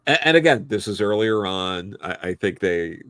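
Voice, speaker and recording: Guy on a review podcast, kinda low voice, rambles and stops and starts, very dry sound. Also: lively intonation, fairly bright tone, no echo. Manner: halting, rambling, hedging delivery. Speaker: podcast panelist reviewing an audio drama. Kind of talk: casual opinionated review discussion.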